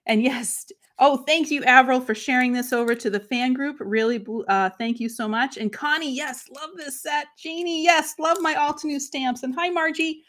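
A woman's voice: high-pitched, normal speed, few pauses, fairly bright tone. A woman in her thirties with a Boston accent, hosting a crafting livestream from her craft room and chatting upbeat with viewers by name. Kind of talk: casual live chat with viewers. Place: home craft room, indoors.

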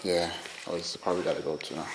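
A man with a fairly low voice narrates his exploration vlog off the cuff. He is informal and rambling, and the handheld recording is very echoey with clear noise around him. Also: level tone, fast talker. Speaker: host of a ghost-hunting urban exploration vlog. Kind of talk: casual vlog narration to viewers and companion. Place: inside a large abandoned building. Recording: echoey, noisy handheld camera audio.

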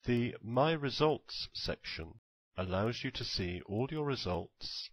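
A man reading scripted tutorial narration in a measured, instructional manner. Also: mid-range voice, expressive, no echo.

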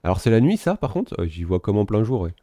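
Low rumbly guy chatting over his game, laid back, pokes fun at himself. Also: fast, no echo. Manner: relaxed, self-mocking, thinking aloud. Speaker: gaming video host. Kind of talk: casual let's-play commentary.

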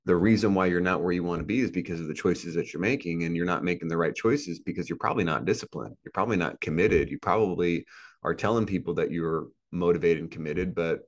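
A man in his thirties speaks from a room on a video call, his voice sitting low and deep. He talks in an earnest, motivational way, circling back and repeating his points. It is relaxed interview talk. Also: fast. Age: 30s. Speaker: podcast guest. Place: indoor room with a plant and a couch.

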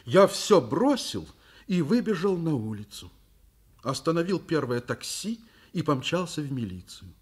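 An actor playing a stern, principled school principal in a Soviet radio play, with an emphatic, commanding delivery. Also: male voice, a little high, very animated, measured pace, breathy edge, full character voice. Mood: troubled narration. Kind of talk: mid-century Soviet radio play acting.

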